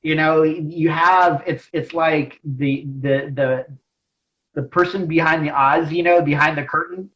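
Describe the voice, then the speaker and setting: A man hosting a casual interview, speaking in a halting way and often repeating his phrases. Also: fairly high pitch, fairly even intonation, a bit bright, lo-fi sound. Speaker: podcast host, interviewer.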